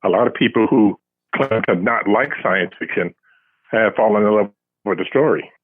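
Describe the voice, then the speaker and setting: A man's voice: lowish voice, normal speed, husky, no echo. Older man on a tinny phone line. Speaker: science fiction author, interview guest. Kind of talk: casual conversational storytelling.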